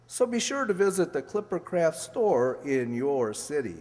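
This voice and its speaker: A man playing a 1940s radio sponsor announcer, delivering an emphatic, measured sales pitch. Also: very animated, a little mellow. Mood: emphatic, selling. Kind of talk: 1940s radio commercial reading. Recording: echoey stage hall.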